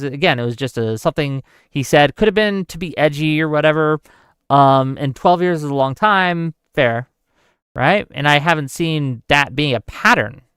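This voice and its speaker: A man in his forties with a smooth, clear voice that sits a little high for a man. He chats casually on a livestream, thinking aloud and answering his viewers' questions. The sound is close and dry, with no room echo. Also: fairly bright tone. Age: 40s. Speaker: livestream host. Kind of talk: casual political chat with live chat.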